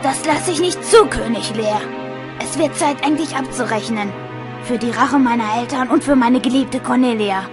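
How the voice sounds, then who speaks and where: High anguished young tragic lover done by a woman, fan audio play, music behind. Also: moderate pace, lightly acted. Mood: solemn, grieving. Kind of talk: amateur audio drama acting.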